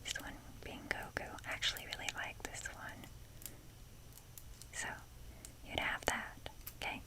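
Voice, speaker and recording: A woman speaking in a soft breathy whisper, rambling casually and hesitantly with wry, self-deprecating humour in an ASMR show-and-tell style. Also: measured pace. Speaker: ASMR video creator showing a toy collection.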